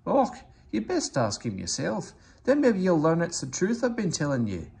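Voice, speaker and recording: A man voicing a touchy male engine with a Scottish accent, hoarse and hushed, then indignant. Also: on the high side, huge pitch swings, moderate pace, voice pushed up, heavily acted.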